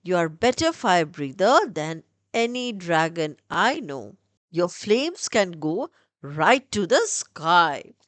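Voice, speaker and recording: A woman's voice: lowish voice, very animated, slow, fairly bright tone, dry recording, pitched below the performer's own voice, exaggerated voice. Woman playing a mighty volcano mentor, swooping delivery. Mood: encouraging. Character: fierce raging male volcano, a mighty encouraging mentor.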